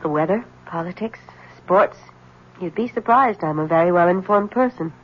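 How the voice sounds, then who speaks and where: A woman's voice: lowish voice, moderate pace, breathy edge. An actress playing a frightened, secretive young married woman in a 1970s radio drama, pleading and anxious. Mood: nervous. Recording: dull lo-fi 1970s radio broadcast.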